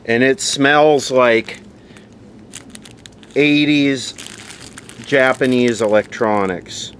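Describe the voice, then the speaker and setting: A man's voice: medium pitch, steady tone, very slow, a bit bright, a little hiss or hum. A man giving casual, unscripted hands-on commentary, rambling a little as he thinks aloud. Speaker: vlogger demonstrating vintage radio equipment.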